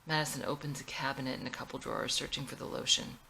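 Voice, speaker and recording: A woman's voice: rumbling low, fairly even intonation, quick pace, vocal fry, sharp tone, a little hiss or hum, low-quality recording. A woman narrating the stage directions of her own screenplay in a scripted read.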